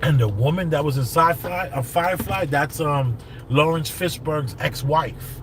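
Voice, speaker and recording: A man's voice: a little high, measured pace. A man, the host, chatting casually while driving, joking and emphatic about what he likes. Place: in a car. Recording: loud road noise from car. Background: loud traffic and car noise.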